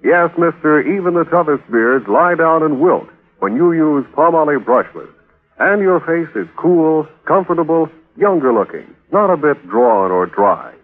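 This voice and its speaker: Animated 1940s radio ad man. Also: a little high, normal speed. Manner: emphatic, polished salesmanship. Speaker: commercial announcer. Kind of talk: 1940s radio advertising pitch. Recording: tinny old radio recording.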